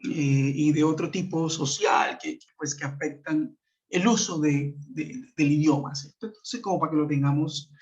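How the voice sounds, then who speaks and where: A man with a Colombian Spanish accent explains a lesson patiently over a web conference, with a somewhat high voice. He fills his speech with hesitations and checking questions to his students. The sound is dull and lo-fi, as from a computer mic on a call. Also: moderate pace. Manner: explanatory, many fillers and tag questions. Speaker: English teacher. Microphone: computer mic on a video call. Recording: muffled lo-fi web call audio.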